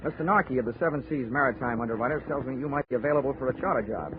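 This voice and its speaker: A man's voice: mid-range voice, rapid-fire, background noise, close to an everyday voice. An actor playing a male insurance investigator, who narrates and delivers dry, wry wisecracks in 1940s radio acting. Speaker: lead and narrator. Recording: tinny old radio broadcast with music.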